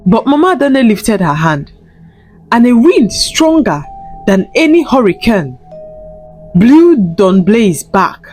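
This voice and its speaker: Female folktale narrator: higher-pitched, animated and dramatic, deliberate delivery with music underneath. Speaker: storyteller.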